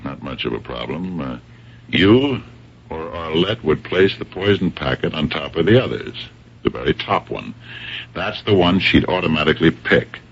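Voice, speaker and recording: A man acting in a radio mystery as a shrewd, dogged police captain who questions people in a deliberate, probing way, with a gravelly voice. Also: very deep voice, animated, heavily acted. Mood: probing, measured. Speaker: radio drama actor. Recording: dull old radio broadcast recording.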